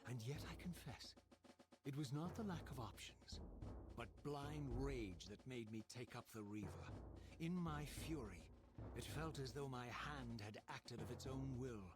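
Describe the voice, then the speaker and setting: A man's voice: mid-range voice, expressive, measured pace, noisy background, lightly acted. A man playing a vengeful undead wraith in a video game, speaking and narrating in a grave, formal, brooding and eloquent way. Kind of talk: theatrical scripted game narration and dialogue.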